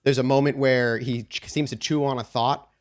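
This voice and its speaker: A man improvising tabletop game narration as game master, halting as he searches for words. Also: mid-range voice, little pitch movement.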